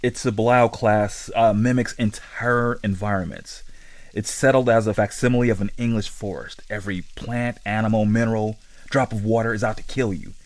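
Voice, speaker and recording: A man's voice: lowish voice, steady tone, normal speed, lightly acted. A man playing a casually authoritative boss in a sci-fi horror audio drama, breezy and dryly matter-of-fact. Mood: coolly firm. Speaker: commanding officer of facility staff.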